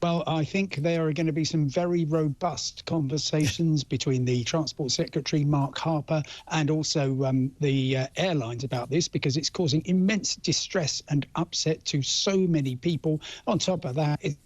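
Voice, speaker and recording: A British man with a fairly high, husky voice reports as a travel expert in a live news interview. His phrasing is halting and understated, and the link sounds dull and lo-fi. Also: fairly even intonation, darkish tone, dry recording.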